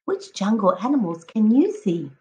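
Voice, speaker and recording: A woman teacher reading a picture book aloud to children, expressively, addressing them directly and encouragingly with drawn-out emphatic words. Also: mid-range voice, sing-song, mellow, poor audio. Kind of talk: expressive children's read-aloud with prompting questions.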